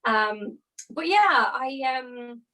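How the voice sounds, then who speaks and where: This is a woman in her younger adult years, talking easily as an interview guest. Her voice sits a little high. She explains at length, circling with casual fillers. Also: animated, drawn-out words, pushed and bright. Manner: chatty, explains with many hedging fillers. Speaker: opera singer guest.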